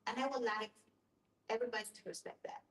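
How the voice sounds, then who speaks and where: A woman's voice: on the high side, steady tone, fast, fairly bright tone. A woman speaking as an audience member in an informal group discussion. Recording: echoey room, faint background noise.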